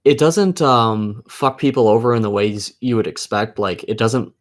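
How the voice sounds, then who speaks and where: A man with a fairly low voice chats casually about games. He is blunt and peppers his talk with heavy swearing. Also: normal speed. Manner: blunt, heavily profane. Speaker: gaming podcast co-host. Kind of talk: casual gaming chat.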